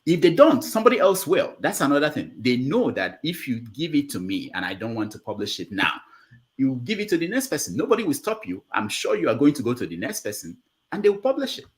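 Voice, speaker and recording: A man's voice: medium pitch, wildly swooping pitch, quick pace. A man hosting a panel discussion, explaining things point by point in an emphatic way. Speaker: show host.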